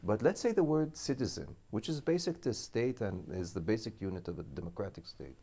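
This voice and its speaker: Thoughtful, halting Israeli man in his fifties. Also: on the low side, lively intonation, mellow, slightly rough. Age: 50s. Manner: thoughtful, halting, restarts while reasoning. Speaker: guest, public policy school director. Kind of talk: studio interview.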